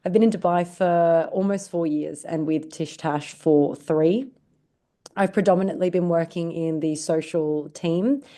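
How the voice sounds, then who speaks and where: Low-voiced Australian woman guest. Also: unhurried. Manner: relaxed, conversational, filler uh. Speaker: guest, marketing director. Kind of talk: podcast interview.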